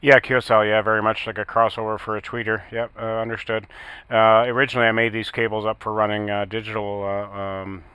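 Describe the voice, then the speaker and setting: A man with a fairly low, quite even voice chats about technical matters as a ham radio operator. He speaks haltingly, with radio jargon, in a crisp, clear tone. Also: no echo. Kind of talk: casual technical radio chat.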